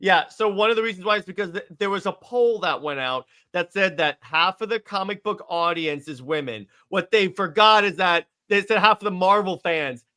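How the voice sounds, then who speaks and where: Male podcast co-host, raised pitch, sharp bright tone, emphatic, repetitive, opinionated, casual chat about pop culture. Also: normal speed, few pauses, smooth. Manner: emphatic, opinionated, repeats himself.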